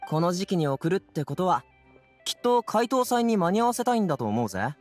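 A man's voice: high-pitched, very animated, brisk, faint music behind. A man voicing a cheerful, easygoing teenage courier boy with a casual, eager manner in an anime game.

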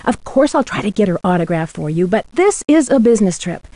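A woman's voice: medium pitch, big pitch swings, brisk, warm tone, dry recording, close to an everyday voice. A young American woman voicing an inquisitive, teasing amateur detective in a video game, in scripted lines. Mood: chatty, intrigued. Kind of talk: scripted game dialogue and letter narration.

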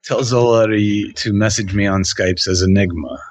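A man guesting on a prank call podcast, gruff and easily annoyed, cursing casually. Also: on the low side, moderate pace, no room sound. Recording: dry, dull lo-fi mic.

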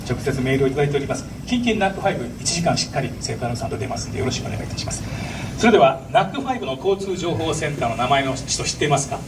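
A man's voice: medium pitch, lively intonation, rapid-fire, noisy background. A man performing live comedy stage patter as an impressionist, addressing the audience like a showman. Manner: showman, repeatedly prompting the audience for applause. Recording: distant stage PA with music.

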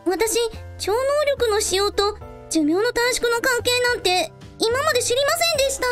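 A cheerful, courteous schoolgirl who loves history, played by a woman in a radio drama. Her voice is extremely high and very animated, with music clearly behind it. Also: moderate pace. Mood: earnest. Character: cheerful, polite teenage schoolgirl history fan.

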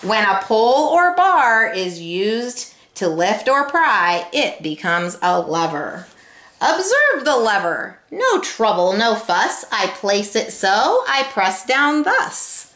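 A woman's voice: a little high, very animated, takes it slowly, a bit bright. A middle-aged woman reading a children's picture book aloud, expressively, with instructive asides. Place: home kitchen, indoors. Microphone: camera a short distance away. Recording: roomy, echoing home-video sound.

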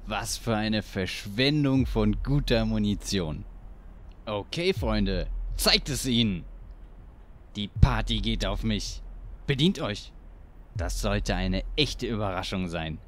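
Low-voiced, cocky gangster boss. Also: male voice, expressive, takes it slowly. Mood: gloating. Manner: boastful, tough-talking. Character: cocky, vengeful dead gangster boss, boastful. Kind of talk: German audio drama acting.

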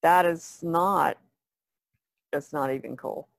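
A woman's voice: deep voice, slow, gravelly, no room sound, clean studio sound. A woman in a casual podcast discussion, plain-spoken and colloquial in the way she talks. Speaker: podcast co-host.

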